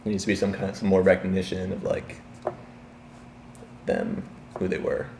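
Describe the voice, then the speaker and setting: Deep-voiced young man, hesitant. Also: very animated, normal speed, vocal fry, darkish tone, echoey room, a little hiss or hum. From Chicago, Illinois. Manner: hesitant, many fillers. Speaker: interviewee. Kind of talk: casual street interview.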